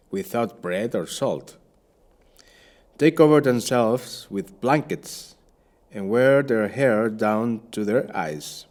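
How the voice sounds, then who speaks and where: An actor playing a shipwrecked Spanish captain in an audio play, a courtly flatterer with a florid, theatrical way of speaking. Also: male voice, mid-range voice. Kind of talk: audio play acting and narration.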